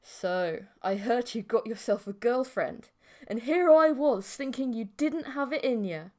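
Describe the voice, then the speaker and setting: A woman puts on a knowing, pleased dad for an audiobook. He talks casually and slowly, with a teasing manner toward his son. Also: on the high side, warm tone, natural voice.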